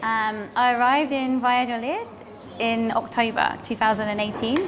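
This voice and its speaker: A young Englishwoman with an English accent, an English teacher answering interview questions with soft "um" fillers. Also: on the high side, measured pace, background noise. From England.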